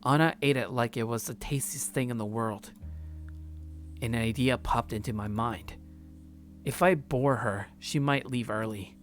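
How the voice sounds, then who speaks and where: A man hosting a let's play stream, reading visual novel narration aloud and dropping in casual reactions. His voice is mid-pitched, with soft guitar music playing behind him. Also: measured pace.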